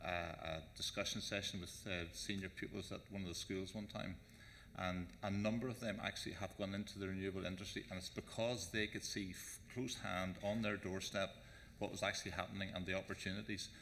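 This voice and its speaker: A man on a conference panel, talking quickly and haltingly with many restarts. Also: flat delivery, a bit bright. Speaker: panel speaker. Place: large conference hall. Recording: reverberant conference hall, faint rumble.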